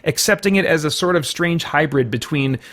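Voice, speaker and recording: A man with a slightly high voice delivers a conversational video game review. He thinks aloud as he goes, qualifying his opinions and correcting his wording mid-sentence. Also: moderate pace, fairly bright tone. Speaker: reviewer and host.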